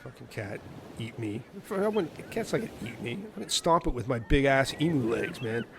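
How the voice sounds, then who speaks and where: A man's voice: mid-range voice, lively intonation, brisk, over music, lightly acted. A man playing a laid-back, sweary American half-emu castaway in a comic radio play. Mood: grumbling.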